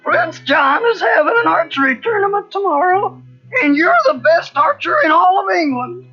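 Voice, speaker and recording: Man playing an eager, high-pitched storybook friar. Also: expressive, moderate pace, pushed and bright, full character voice. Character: friendly male friar bringing exciting news. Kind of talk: children's cartoon storybook acting. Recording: dull cassette, faint music behind.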